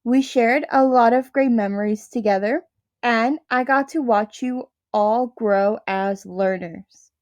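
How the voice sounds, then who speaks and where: A woman who teaches first grade, talking slowly and gently to her young pupils in a classroom address. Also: on the high side, no room sound. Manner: slow, careful, warm-hearted delivery to young kids.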